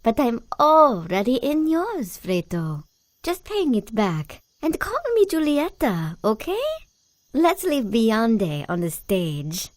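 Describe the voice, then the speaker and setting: Actress doing a feisty dramatic cartoon singer gal, lively highish voice, bits of spanish, light music. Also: female voice, slow pace, dry recording, studio quality. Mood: determined. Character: feisty, proud, self-doubting woman singer, defiant underdog. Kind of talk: animated cartoon voice acting.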